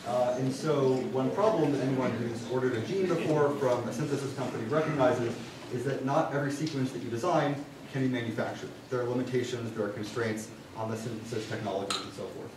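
A man's voice: mid-range voice, a little fast. A young man giving an informal student science presentation, with casual, wry asides. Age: young adult. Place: university lecture hall. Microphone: far camera. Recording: distant room mic, very echoey, clear noise.

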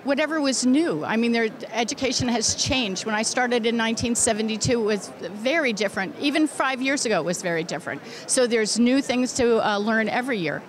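A woman's voice: on the high side, a little fast, hardly any pauses. A woman in her sixties, an educator answering interview questions earnestly and emphatically into a handheld microphone. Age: 60s. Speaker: teacher and convention committee chair, interview guest. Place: crowded indoor convention exhibition hall. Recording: loud busy convention hall background.

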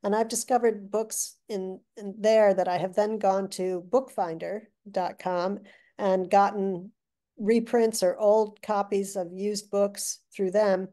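An older woman, a guest author, telling stories in a chatty, animated way with restarts, heard over a video call. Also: medium pitch, takes it slowly. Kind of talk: casual interview chat. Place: living room with brick wall. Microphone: video call webcam.

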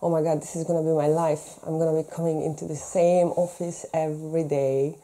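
A middle-aged woman with a Hungarian accent recounts memories in an interview, acting out bits of speech as she goes. Her voice is low and very dark and soft in tone. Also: flat delivery, a little fast. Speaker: documentary interviewee.

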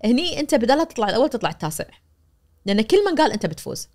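Rapid-fire Kuwaiti woman explaining. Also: on the high side. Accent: Kuwaiti Arabic. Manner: animated, gesturing, acts out quoted voices. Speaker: media professor, guest. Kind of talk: explanatory podcast conversation. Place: podcast studio, indoors. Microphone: boom-arm studio mic.

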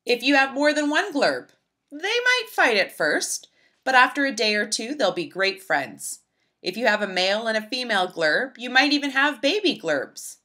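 Animated, bright-voiced woman reading aloud. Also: a little high, normal speed. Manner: animated, emphatic, storybook delivery. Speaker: librarian reading a picture book aloud. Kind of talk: expressive children's read-aloud narration.

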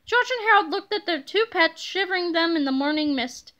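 Female storybook reader for children; very high pitch, bright, forceful tone. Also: expressive, fairly quick, dull audio. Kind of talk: children's book read-aloud.

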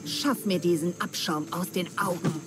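A woman voicing a commanding, menacing pirate queen in a video game, speaking deliberately and with threat. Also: fairly low pitch, lightly acted. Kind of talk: video game cutscene dialogue. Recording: music behind the voice.

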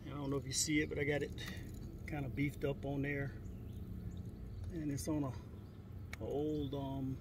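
A man's voice: medium pitch, moderate pace, long pauses. A middle-aged man narrating casually to viewers while fishing outdoors by a lake, recorded on a phone camera set in front of him. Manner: relaxed, talks to viewers while working the reel. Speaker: fishing vlogger. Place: outdoors on a lake, seated by the water. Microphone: phone camera set low in front. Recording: outdoor noise and ambience.

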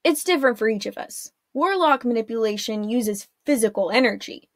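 A woman voicing a teenage boy warlock in an audiobook, speaking calmly and matter-of-factly. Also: on the high side, brisk, dry recording, clean studio sound. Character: teenage boy warlock Mavik, calm and wry.

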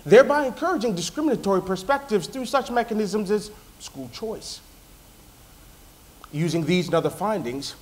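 A man in his thirties who was born in Nigeria and raised in the US, giving a memorized talk to a large lecture-theatre audience, deliberate and rhetorical with dramatic pauses. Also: sits high, low-quality recording. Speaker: doctoral student presenter. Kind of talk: memorized competition talk on stage.

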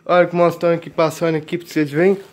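A man's voice: on the high side, level tone, racing, darkish tone. A young man in his late teens with a Brazilian accent, chatting casually and repetitively as he vlogs in a home kitchen. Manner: repetitive, points things out with filler tags. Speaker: vlogger. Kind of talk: casual grocery haul chat. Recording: clear noise, crinkling plastic bags. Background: plastic bags crinkling.

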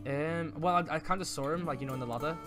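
A man improvising a casual Minecraft roleplay, excitable and often repeating words in stammered bursts. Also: fairly high pitch, quick pace, over music. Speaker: roleplay player.

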